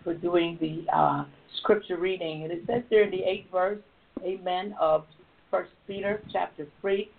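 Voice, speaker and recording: A hoarse woman hosting a prayer line, leading intercession fervently and repeating words of praise. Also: fairly low pitch, steady tone, a little slow. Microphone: phone call. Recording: tinny phone line.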